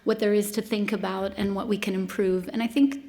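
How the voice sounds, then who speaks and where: Mid-pitched woman speaking on a panel. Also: little pitch movement, a little fast, dark tone. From Malta. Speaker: panel participant, institution delegate. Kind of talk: informal panel talk.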